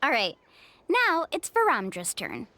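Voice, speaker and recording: Lively young tour guide. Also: female voice, high-pitched, a little slow, no room sound, heavily acted. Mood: easygoing, polite. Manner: friendly, earnest, reassuring. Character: upbeat, caring young female tour guide. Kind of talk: video game character dialogue.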